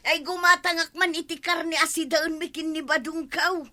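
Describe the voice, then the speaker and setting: A blunt, teasing village matron for an Ilocano radio comedy. The voice is high and extremely piercing. She exclaims excitedly in an Ilocano accent. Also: normal speed. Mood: scolding.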